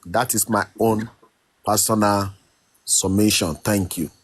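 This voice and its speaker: A man lays out his opinion deliberately in a casual discussion. His voice is low and unhurried, and the sound is dry and close. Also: fairly even intonation, slightly rough, no echo. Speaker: participant in online discussion.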